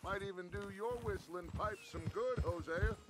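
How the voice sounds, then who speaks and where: An actor playing a charismatic middle-aged American outlaw gang leader, speaking in a persuasive, theatrical, grandiloquent way in a western game. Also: male voice, high-pitched, moderate pace, natural voice. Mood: hopeful, commanding. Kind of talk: dramatic western game cutscene acting.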